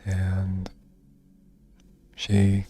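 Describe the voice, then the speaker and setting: A young man talking in a soft, reserved and hesitant way, with many fillers, in a personal video monologue. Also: low-pitched, completely flat delivery, very slow. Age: young adult. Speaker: vlogger.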